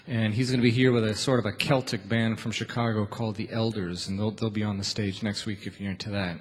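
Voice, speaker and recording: A man's voice: on the low side, steady tone, fast talker, husky. Folksy man hosting a live radio show. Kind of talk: casual live stage announcements. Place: theatre stage, before a live audience.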